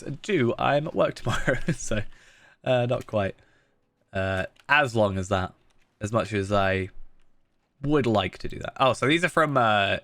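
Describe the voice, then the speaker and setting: A man's voice: medium pitch, sharp tone, no room sound. A man live-streaming a game, chatting casually and thinking aloud, with a blocked nose that makes him sound slightly nasal. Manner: chatty, thinking aloud with many fillers and restarts. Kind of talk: casual live-stream commentary while playing.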